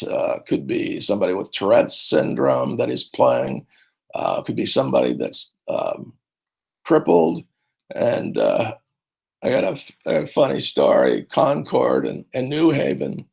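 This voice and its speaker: Gravelly-voiced man coaching teachers. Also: medium pitch. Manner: rambling, conversational, many fillers and restarts. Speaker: speech and debate coach presenting at a conference. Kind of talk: conference talk.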